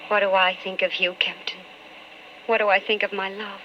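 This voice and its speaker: An actress playing an anxious, brooding politician's wife in a wistful, dramatic old-time radio style. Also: female voice, mid-range voice, little pitch movement, moderate pace, a little hiss or hum, close to an everyday voice. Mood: anguished, brooding. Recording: muffled old radio recording.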